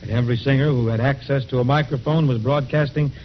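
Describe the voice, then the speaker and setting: A man's voice: mid-range voice, steady tone, quick pace, slight background noise. A man delivering scripted narration in a vintage radio style, with a dull, old-fashioned sound. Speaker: narrator of a radio history montage.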